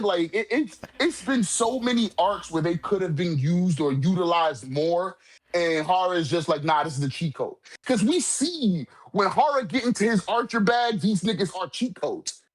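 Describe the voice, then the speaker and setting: A man's voice: sits high, rough recording. A man in a casual manga discussion, talking in an emphatic, profane, slang-heavy way as a commentator.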